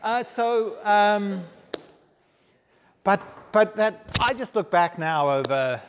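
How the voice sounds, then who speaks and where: Male guest speaker from Zambia, wry and self-mocking, slightly higher voice, long hall reverb. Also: animated, takes it slowly, a little hiss or hum. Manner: humorous, self-deprecating. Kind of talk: onstage interview, storytelling.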